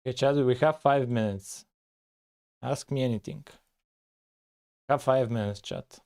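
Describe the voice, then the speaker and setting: Male streamer, laid-back, mid-pitched, soft dark voice. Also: a little slow, gravelly. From Bulgaria. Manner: relaxed, thinking aloud with frequent "uh". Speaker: live-streaming game developer. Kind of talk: casual live coding stream with chat.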